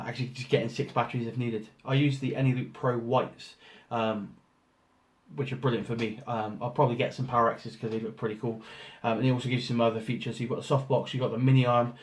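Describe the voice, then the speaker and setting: A man with a hoarse voice from a cold, casually talking through an unboxing with lots of ums and restarts. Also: medium pitch. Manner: rambling, many ums and restarts. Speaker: photographer doing an unboxing. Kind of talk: casual product walkthrough. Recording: roomy echo, faint noise.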